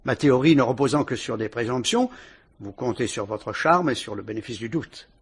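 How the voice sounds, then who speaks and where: A man playing a patient police commissioner who speaks in a measured, deliberate, politely ironic way in a vintage radio drama. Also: medium pitch, slightly rough.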